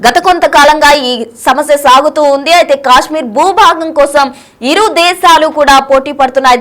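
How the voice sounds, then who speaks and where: A woman's voice: sits high, fairly bright tone. A woman with a Telugu accent presenting news commentary in repetitive, formulaic phrases.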